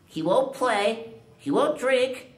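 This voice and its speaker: An excitable, easily frustrated young girl in a storybook radio play. Her voice is high and swoops dramatically. It echoes in a live room. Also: slow pace. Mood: sad, worried. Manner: exclamatory, dramatic.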